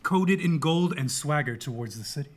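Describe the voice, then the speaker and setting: A man's voice: a little high, lively intonation, moderate pace, close to an everyday voice. A man playing a solemn chorus member, reciting battle verse poetically in a Greek tragedy. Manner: declamatory, poetic. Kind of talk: dramatic reading of Greek tragedy.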